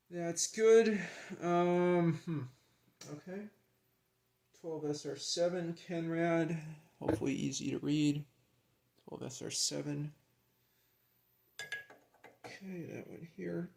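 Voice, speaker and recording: A man with a creaky voice talking casually and haltingly, half to himself, as he narrates a hands-on hobby task. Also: fairly high pitch, a little mellow, slight background noise. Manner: halting, muttering to himself while handling parts. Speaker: hobbyist presenter. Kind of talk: casual hands-on vlog narration while working.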